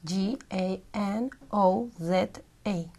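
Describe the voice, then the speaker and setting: A woman answers exam questions in English. She speaks slowly and hesitates as she searches for words, at a middle pitch. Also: darkish tone, husky. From Peru. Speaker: exam candidate.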